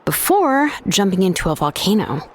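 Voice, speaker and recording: A woman playing a composed, friendly tribeswoman in an anime game, explaining and storytelling in an unhurried way over music. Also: medium pitch, very animated, slight background noise, natural voice. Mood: calm, explanatory. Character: composed, friendly adult tribeswoman telling a tale.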